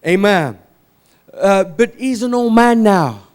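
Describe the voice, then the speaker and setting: A man, a native English speaker, preaching in short phrases with pauses for an interpreter and repeating them for emphasis. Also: high voice, lively intonation, clear, resonant tone. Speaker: visiting preacher.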